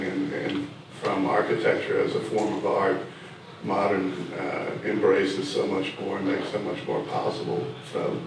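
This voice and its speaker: A man speaking on an architecture panel in a steady, even way with some fillers. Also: lowish voice. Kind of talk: panel talk. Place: large event room. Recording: echoey room, distant mic, faint noise.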